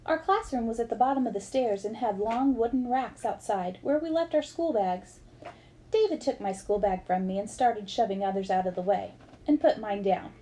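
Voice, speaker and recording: A woman's voice: fairly high pitch, moderate pace, dark tone, a little hiss or hum. A woman reading a memoir aloud as scripted storybook narration. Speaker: reader of a book aloud.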